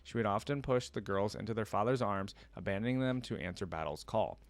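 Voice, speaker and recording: A man's voice: on the low side, brisk, no room sound. A man hosting a reaction video, reading stories aloud and adding casual commentary.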